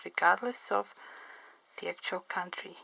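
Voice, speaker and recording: A middle-aged woman, not a native English speaker, chatting slowly and carefully about her drawing, enunciating every vowel clearly. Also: on the low side, bright, forceful tone, no echo, low-quality recording. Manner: slow, careful, clearly enunciated, pauses often. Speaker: artist and interpreter. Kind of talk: drawing tutorial vlog chat. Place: home studio by a window.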